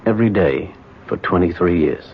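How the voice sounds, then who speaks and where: An actor playing a weary, earnest middle-aged American husband in a radio drama, with a halting, pleading delivery. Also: male voice, sits low, vocal fry, a little hiss or hum. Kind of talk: 1970s radio drama acting. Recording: muffled 1970s radio broadcast.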